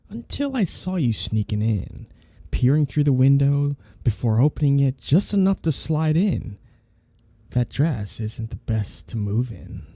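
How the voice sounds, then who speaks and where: A man playing a suave vampire lord in an intimate ASMR roleplay, teasing and condescending in a slow, menacing purr. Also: mid-range voice, creaky, mellow, no echo, full character voice.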